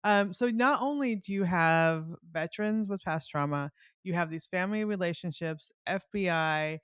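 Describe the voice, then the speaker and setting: Middle aged woman hosting a book chat, slightly low voice, rambly and giggly, really dry sound. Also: moderate pace, smooth, dry recording. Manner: chatty, laughing often, circling back mid-sentence. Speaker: podcast host. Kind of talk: casual book-chat interview.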